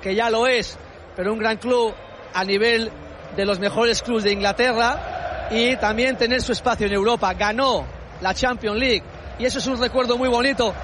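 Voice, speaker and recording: Coach, man, high-pitched and measured, outdoor clip with faint noise. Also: steady tone. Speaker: football coach. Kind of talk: post-match interview.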